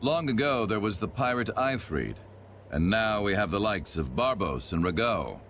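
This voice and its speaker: An actor playing a mocking, florid villain with theatrical courtesy in a game. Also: male voice, lowish voice, sing-song, normal speed, background noise. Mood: mocking praise. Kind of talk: English game dub acting.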